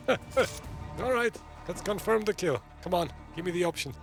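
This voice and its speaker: A man's voice: high-pitched, animated, unhurried, over music. A man giving casual playthrough commentary as host of a gaming video. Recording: clear game noise behind. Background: video game sound.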